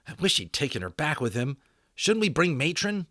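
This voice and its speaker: A man's voice: a little high, big pitch swings, normal speed, bright, forceful tone, dry recording, studio quality, voice pushed up. A man voicing an uneasy, sensible young boy who reasons things through.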